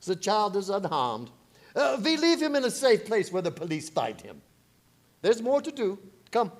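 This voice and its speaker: An actor playing a grave, commanding older foreign professor who hunts vampires in a radio horror drama, with solemn theatrical phrasing. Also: male voice, sits high, wildly swooping pitch, moderate pace, heavily acted. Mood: brisk. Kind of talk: 1930s-style radio horror drama acting.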